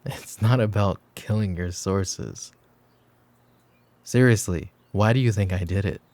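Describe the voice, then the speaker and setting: Guy acting as a mafia boss for roleplay asmr, mellow low voice, calm n commanding, kinda brooding and teasing. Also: a little slow. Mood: firm, reassuring. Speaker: voice actor. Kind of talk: roleplay ASMR audio drama.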